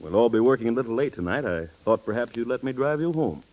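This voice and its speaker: A man's voice: mid-range voice, expressive, fast talker, darkish tone, close to an everyday voice. An actor playing an older, courtly businessman smitten with his secretary, in 1930s radio style. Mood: suave, flirtatious. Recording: muffled 1930s radio recording.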